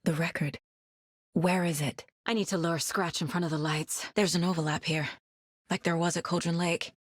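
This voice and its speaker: An actress playing a determined, level-headed FBI agent in a video game, speaking in a measured, serious and slightly husky voice. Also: female voice, fairly low pitch, steady tone, dry recording, clean studio sound, close to an everyday voice. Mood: pensive, serious. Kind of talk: naturalistic dramatic game dialogue and inner monologue.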